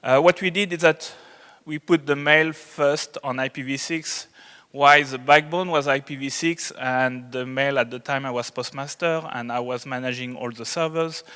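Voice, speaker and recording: A man with a strong French accent speaks at a medium pitch in a big reverberant hall. He gives an unscripted technical presentation to a conference, explaining as he goes. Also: steady tone, moderate pace, bright, forceful tone, clear, resonant tone. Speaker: conference presenter, network engineer.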